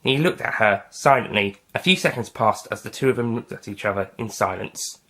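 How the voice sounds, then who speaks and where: A man's voice: fairly low pitch, expressive, fairly quick, a bit bright. A man reading scripted science fiction story narration as its narrator. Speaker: author and narrator.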